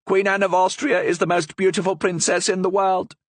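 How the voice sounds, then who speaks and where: A clever, fawning parrot, voiced by a man speaks in a high, chirping sing-song. Its formal compliments sound thin and a little distant in a dry storybook read. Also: flat delivery, brisk, dry recording, lightly acted. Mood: flattering.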